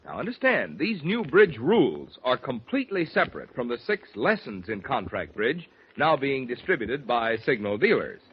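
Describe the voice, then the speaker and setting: A man's voice: medium pitch, big pitch swings, moderate pace, hardly any pauses, slightly rough. A man announcing in scripted 1940s radio style, reading sponsor messages and programme notes. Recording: dull 1940s radio recording. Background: faint music.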